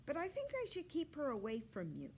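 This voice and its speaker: A woman's voice: high voice, huge pitch swings, a little fast, a little mellow, a little hiss or hum, pitched above the performer's own voice, exaggerated voice. A woman voicing an eager, friendly young boy in a children's storybook. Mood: earnest. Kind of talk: children's storybook read-aloud.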